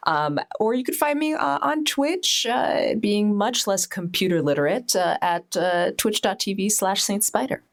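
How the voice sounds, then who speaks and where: A woman's voice: medium pitch, expressive, a little slow, hardly any pauses. A woman with a mid-range voice talks as a player in a tabletop game. Her delivery is casual and improvised, with a conversational feel.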